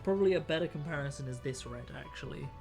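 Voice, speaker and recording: A man's voice: fairly high pitch, fast, gravelly, soft background music. A young man live-streaming miniature painting, chatting in a rambling way with a voice worn out from a week of talking. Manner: rambling, chatty, restarts sentences. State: tired. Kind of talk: casual live-stream chat.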